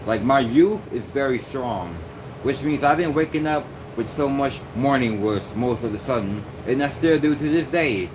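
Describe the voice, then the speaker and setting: A man's voice: medium pitch, normal speed. Rambling young man over a humming room. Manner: meandering, many fillers and restarts. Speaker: vlogger. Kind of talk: rambling casual monologue. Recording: noisy background hum.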